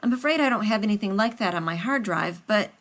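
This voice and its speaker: A woman's voice: mid-range voice, lively intonation, quick pace, a bit bright, voice pushed up. A woman reading a story aloud, voicing a fussy, rambling male scientist who talks over others. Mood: flustered.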